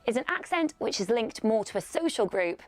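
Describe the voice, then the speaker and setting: A woman from northeast England giving brisk, informative scripted narration for a news feature. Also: a little high, big pitch swings, no echo.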